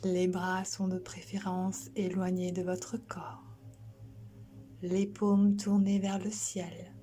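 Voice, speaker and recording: A woman guiding a sleep relaxation as a yoga instructor, soothing and gentle, soft and breathy, often near a whisper, with long pauses. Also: on the low side, monotone, a little hiss or hum.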